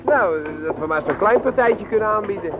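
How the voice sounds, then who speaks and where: An actor playing a brash, calculating young petty thief in a 1960s radio drama, on a dull recording. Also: male voice, sits high, animated, brisk. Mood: cocky, calculating. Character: cocky scheming youth, leader of petty book thieves. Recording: dull old radio recording, music behind. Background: music.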